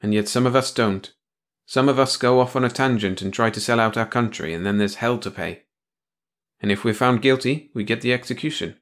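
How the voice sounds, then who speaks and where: A man's voice: medium pitch, a little fast, fairly bright tone, natural voice. A man voicing a weary, cynical male official who speaks with dry bitterness. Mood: grim.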